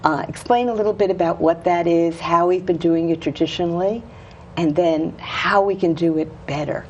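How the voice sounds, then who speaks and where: A woman's voice: on the low side, moderate pace, a little mellow, dull audio, a little hiss or hum. A woman hosting a local talk show, interviewing her guest with an eager, gushing manner. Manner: enthusiastic, gushing. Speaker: host. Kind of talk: casual interview chat.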